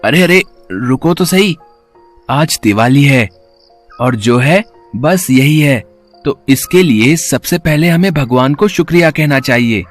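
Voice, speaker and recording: A man's voice: on the high side, expressive, takes it slowly, faint music behind. A man voicing a humble, good-hearted poor working boy in a cartoon story, polite and earnest. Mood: earnest, happy. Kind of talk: acted cartoon story dialogue.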